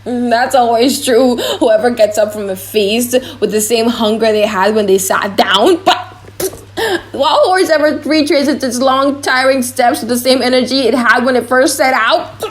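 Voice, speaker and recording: Witty young gallant for a classic play audiobook, high voice, mocking and theatrical female reader. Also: steady tone, moderate pace, lightly acted. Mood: amused, theatrical. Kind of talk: audiobook drama reading.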